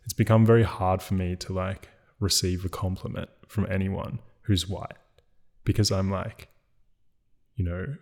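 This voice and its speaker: A man hosting a podcast, talking in a relaxed casual way, full of filler words and trailing asides. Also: deep voice, measured pace, vocal fry. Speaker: podcast host. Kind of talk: casual conversation.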